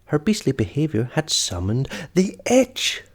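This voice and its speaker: A man's voice: fairly high pitch, wildly swooping pitch, a little slow. A man reading a children's story aloud expressively, as storyteller and host.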